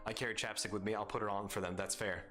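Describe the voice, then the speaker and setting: A man's voice: lowish voice, level tone, very fast, bright, forceful tone, faint music behind. A man with an Arizona accent hosting a live stream, chatting casually with viewers in a playful, teasing, rambling way.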